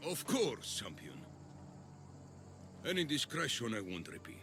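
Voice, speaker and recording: An actor playing a smug, scheming Athenian politician in a video game, oratorical, menacing and condescending. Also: male voice, medium pitch, huge pitch swings, a little slow, noisy background. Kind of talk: dramatic video game cutscene acting. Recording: music behind the voice.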